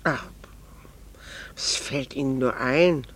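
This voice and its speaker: An actress playing a composed, devoted middle-aged wife with quiet, restrained love in a 1960s German radio drama, speaking earnestly in measured, formal diction. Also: female voice, rumbling low, exaggerated voice.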